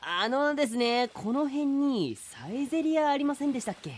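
A woman's voice: high-pitched, sing-song, moderate pace, pitched above the performer's own voice. A woman voicing a lonely, polite but pushy male talking sea turtle in a comedic radio drama. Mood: polite, inviting.

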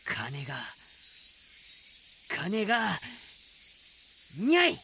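Guy doing a small earnest catlike samurai trainee for a comedy radio drama, halting and dramatic, very swoopy. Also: high-pitched, wildly swooping pitch, gravelly, pushed and bright, a little hiss or hum, heavily acted. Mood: grave, embarrassed. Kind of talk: comic radio drama acting.

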